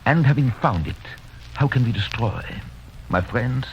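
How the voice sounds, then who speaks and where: Guy doing grave old-radio vampire hunter doc, halting and deliberate, dull faintly hissy recording. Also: mid-range voice, lively intonation, lightly acted. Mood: urgent, grave. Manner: solemn, deliberate, halting foreign phrasing. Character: grave learned foreign vampire hunter doctor, solemn and commanding. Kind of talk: 1930s radio drama acting.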